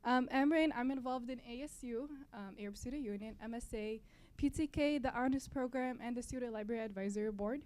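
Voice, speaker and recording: Young woman, Palestinian-born, native Arabic speaker, slightly high voice. Student club speaker addressing an event, a little hesitant. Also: fairly quick, darkish tone, clean tone. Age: young adult. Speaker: student club board member giving introduction. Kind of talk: welcome speech to an audience. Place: college library event.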